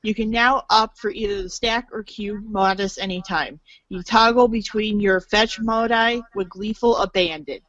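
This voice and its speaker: A woman's voice: mid-range voice, steady tone, normal speed, few pauses, fairly bright tone, no room sound, highs cut off. A woman reading scripted storybook-style narration aloud as a theatrical narrator.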